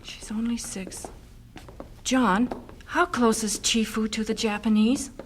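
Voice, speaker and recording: A woman's voice: a little high, steady tone, takes it slowly. A woman playing an anxious, devoted missionary wife and mother in an audio drama. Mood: distressed, weary.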